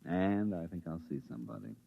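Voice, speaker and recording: An actor playing a quiet, careful veteran pilot on a moon base in a 1950s radio drama, speaking in a measured, reflective way. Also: male voice, creaky, exaggerated voice. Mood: wistful. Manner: low-key, measured, reflective. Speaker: lead in a radio play. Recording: muffled vintage radio broadcast.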